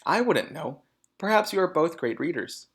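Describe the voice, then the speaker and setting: A man's voice: fairly high pitch, big pitch swings, moderate pace, slightly rough, pitched above the performer's own voice, full character voice. A man reads as a polite lady schoolteacher from a storybook. The delivery is earnest and restrained, with questioning, varied intonation. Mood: probing.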